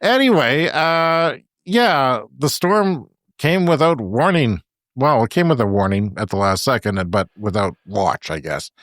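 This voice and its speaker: A man co-hosting a podcast in casual chat, talkative and quick to laugh. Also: medium pitch, animated, fairly bright tone, dry recording, studio quality. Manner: chatty, laughs often between remarks. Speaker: podcast co-host. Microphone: remote podcast call.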